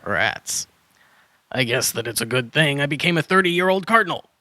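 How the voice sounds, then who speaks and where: A man's voice: fairly high pitch, lively intonation, normal speed, pushed and bright, dry recording. A man playing a crazy old hermit dinosaur trader in a children's audio drama, flustered and tangling his sentences. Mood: quirky, chatty. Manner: muddles and swaps word order, then corrects himself.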